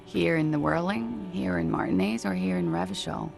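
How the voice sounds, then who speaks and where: An actress playing a world-weary, evasive woman in a detective video game, dry, laconic and sardonic, with a very creaky voice. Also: fairly low pitch, normal speed, music in the background.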